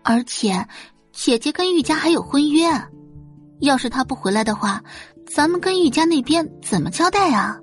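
A woman puts on a young, spoiled rich daughter for an audio drama, pitched high and swooping. She coos and wheedles with calculated sweetness, over faint background music. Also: expressive, normal speed. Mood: coaxing, calculating. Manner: cooing, wheedling, sugary.

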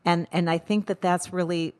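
A middle-aged woman co-hosting a podcast in casual conversation, thinking aloud in quick bursts with frequent restarts. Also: on the low side, fairly even intonation, darkish tone, clean tone. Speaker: podcast co-host.

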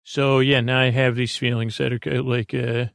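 A man hosting a sleep podcast, rambling haltingly through a meandering bedtime monologue, often stammering and restarting as he wanders into tangents. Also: medium pitch, level tone, gravelly, no room sound, clean studio sound. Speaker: podcast host.

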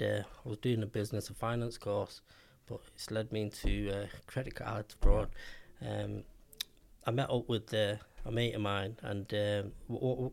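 Mancunian man in his fifties, low voice, rambling guest storyteller with blunt slang. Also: gravelly, darkish tone. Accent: Mancunian English. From Manchester, England. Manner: chatty, hesitant with many ums, blunt slang. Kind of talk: casual storytelling interview.

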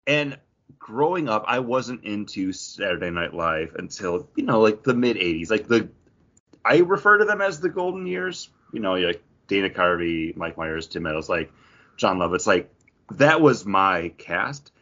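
A man's voice: fairly low pitch, very animated, normal speed, a bit bright, lo-fi sound. A man co-hosting a podcast in casual, rambling pop-culture chat with some mild swearing. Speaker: podcast co-host.

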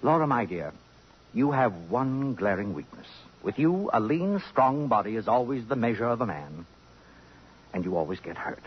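Radio drama: possessive, acid-tongued sophisticate, very animated delivery, dull vintage broadcast sound. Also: male voice, mid-range voice, normal speed, slightly rough. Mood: disdainful. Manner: pompous, cutting, theatrical. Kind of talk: 1950s radio drama acting. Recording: dull lo-fi 1950s radio recording.

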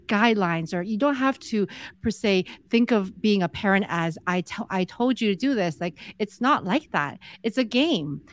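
Soft-spoken woman in her forties. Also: medium pitch. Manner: soft-spoken, measured, explanatory. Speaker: podcast guest, entrepreneur. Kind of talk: casual interview conversation.

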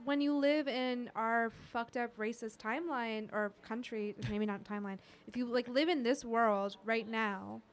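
A woman's voice: on the high side, fairly even intonation, a little slow, hardly any pauses. A woman with an American accent hosting a casual, opinionated podcast chat, speaking bluntly and swearing freely. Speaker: podcast host.

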